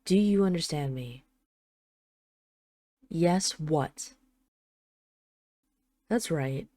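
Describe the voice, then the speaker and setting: A woman's voice: deep voice, lively intonation, extremely slow, dark tone, no echo, heavily acted. A woman playing a possessive dragon queen in an intimate roleplay audio drama, teasing, condescending and commanding. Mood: stern, possessive.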